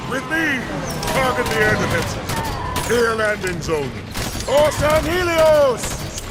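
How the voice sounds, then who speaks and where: Game casting: fierce alien commander, slow drawn-out battle cries, very high straining pitch, gunfire behind. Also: male voice, big pitch swings, very noisy, exaggerated voice. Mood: fierce, rallying. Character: fierce male alien war leader rallying his troops.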